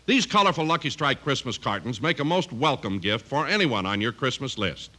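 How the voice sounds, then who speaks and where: A man's voice: mid-range voice, animated, brisk, bright, forceful tone. A man reading 1950s radio advertising and credits as an announcer, with emphatic salesman delivery.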